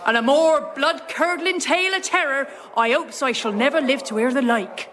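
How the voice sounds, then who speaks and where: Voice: high-pitched, moderate pace, close to an everyday voice. A performer playing a jolly old landlady, telling a dramatic tale in a radio comedy sketch. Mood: dramatic, earnest. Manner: rustic, dramatic storytelling. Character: jolly old West Country innkeeper woman telling ghost tale. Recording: live audience crowd noise.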